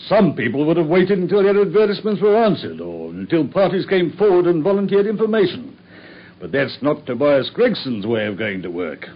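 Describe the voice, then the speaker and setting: An actor playing a boastful, self-satisfied Victorian police inspector with a British accent, husky and excitable, in a radio drama. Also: male voice, fairly high pitch, fairly quick. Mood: self-important recounting. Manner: pompous, excitable. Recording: muffled radio recording.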